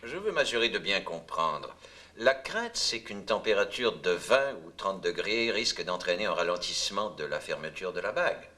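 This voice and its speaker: A man acting an authoritative, probing senior manager in a dubbed docudrama, deliberate and questioning. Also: low voice, expressive, bright, forceful tone.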